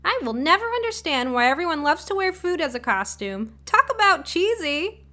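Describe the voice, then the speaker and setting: A woman's voice: extremely high pitch, big pitch swings, normal speed, sharp tone, pitched above the performer's own voice, heavily acted. A woman voicing a practical, caring mother in a short story, with a motherly manner. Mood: amused.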